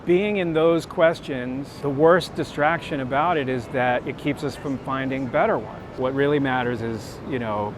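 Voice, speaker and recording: A middle-aged American man chatting casually and reflectively as he walks outdoors, candid and self-deprecating, telling anecdotes. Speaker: street photographer and workshop teacher being interviewed. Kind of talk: casual reflective chat while walking. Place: outdoors on city streets. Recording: outdoor city rumble and traffic noise. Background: urban rumble, vehicles.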